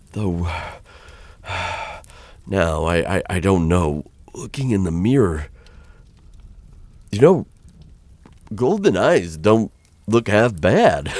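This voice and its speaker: A very deep, close and dry male vampire voice, acted by a man for an ASMR roleplay. He is a dangerous captor with an awkward kindness, easily flustered and prone to stammering. His intonation rises and falls in a lively way as he talks to his human. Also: dry recording, exaggerated voice. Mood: musing, amused. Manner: hesitant, stammering, conversational.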